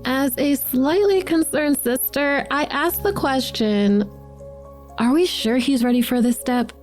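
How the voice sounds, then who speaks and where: Teen daughter woman actor, cheeky and coaxing, higher mellow voice, audio drama with faint music. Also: a little slow. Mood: curious, concerned. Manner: teasing, wordy, coaxing. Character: teenage daughter, playful, cheeky, persuasive with her dad. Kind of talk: audio drama acting, conversational.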